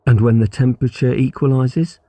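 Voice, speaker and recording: A man's voice: medium pitch, fairly even intonation, fairly quick, dark tone, no room sound, voice pushed up, close to an everyday voice. A man reading an audiobook as an easygoing, slightly sceptical schoolboy who replies in a casual, questioning way. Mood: casual, game. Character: easygoing schoolboy sidekick, sceptical but game.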